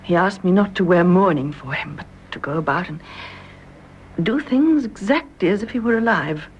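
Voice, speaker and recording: Woman acting gracious fragile widow, British accent, expressive pitch, emotional, radio drama, muffled, faint noise. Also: normal speed, natural voice. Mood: sad, gracious. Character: gracious, fragile newly widowed young wife.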